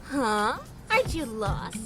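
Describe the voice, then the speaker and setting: A woman's voice: a little high, very animated, takes it slowly. A woman playing a flustered, cheeky teenage idol girl in an anime fan dub, with a girlish, theatrical delivery. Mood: cheeky, teasing. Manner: exclamatory, theatrical. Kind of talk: anime fandub acting. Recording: music behind the voice.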